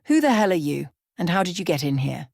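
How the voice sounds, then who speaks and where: A woman doing a scornful mob boss, barking and irritated, low voice, dry close sound. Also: very animated, fast, vocal fry, darkish tone, no room sound, exaggerated voice. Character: arrogant middle-aged male crime boss.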